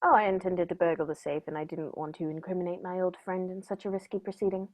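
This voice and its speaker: A woman playing a composed, shrewd gentleman detective in a radio play, precise and dryly polite. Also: on the low side, fairly even intonation, fast talker, lightly acted, poor audio. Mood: measured recounting.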